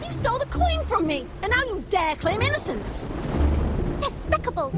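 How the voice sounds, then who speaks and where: Stern, high-voiced righteous young heroine. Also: female voice, sing-song, moderate pace, over loud music. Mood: indignant. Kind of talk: video game voice acting.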